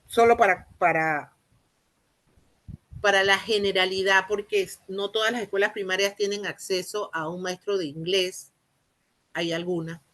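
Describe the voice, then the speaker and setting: Panamanian woman lecturing, halting and explanatory. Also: mid-range voice, steady tone, fairly bright tone. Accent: Panamanian Spanish. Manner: halting, repeats and rephrases phrases, rhetorical questions. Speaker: university lecturer in teacher training.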